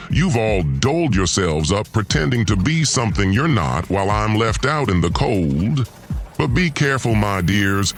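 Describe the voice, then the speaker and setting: A man voicing a haughty, menacing godlike tyrant in an audio drama, with a mocking, pompous, theatrical delivery. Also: on the low side. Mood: silky threat. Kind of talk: audio drama villain monologue. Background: background music.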